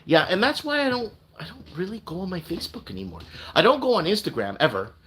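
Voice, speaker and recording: A man hosting a casual morning live stream, whose first language is Portuguese, talks in a bright, forceful voice pitched a bit high. He rambles, restarts, and repeats himself as he chats with viewers. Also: sing-song, quick pace, slight background noise. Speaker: host.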